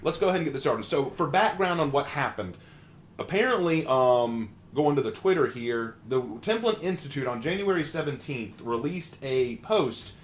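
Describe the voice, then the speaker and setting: A man's voice: medium pitch, expressive, normal speed, a little hiss or hum. A man hosting a live stream, talking in a friendly, chatty way with frequent ums and uhs. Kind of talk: casual live stream commentary.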